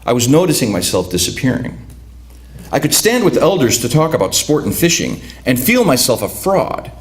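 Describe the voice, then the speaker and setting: A man reading aloud from his own prose at a literary event, speaking reflectively and often restarting his sentences. His first language is Lithuanian. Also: medium pitch. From United States, raised among Lithuanian migrants. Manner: reflective, halting with repeated restarts. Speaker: author.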